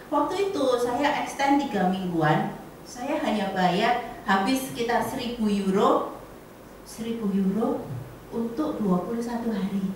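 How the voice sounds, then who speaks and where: A woman's voice: fairly high pitch, slight background noise. A middle-aged woman, an insurance agent giving a chatty motivational talk to colleagues and laughing often. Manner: chatty, laughs often, addresses audience as friends. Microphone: camera or phone at a distance. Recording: echoey room, far from the mic.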